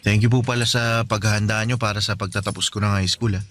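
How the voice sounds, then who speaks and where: A man's voice: fairly low pitch, fairly even intonation, fast talker, fairly bright tone, slight background noise, lightly acted. An actor playing a polite, slightly shy teenage son who speaks respectfully to his mother in a radio drama. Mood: earnest. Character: polite teenage son who just graduated high school.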